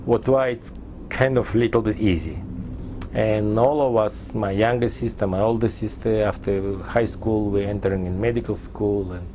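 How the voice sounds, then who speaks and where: Older man, mellow and low. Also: fairly even intonation, slight background noise. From Tajikistan. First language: Persian. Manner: halting non-native English in short fragments. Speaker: interviewee. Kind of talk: oral history interview.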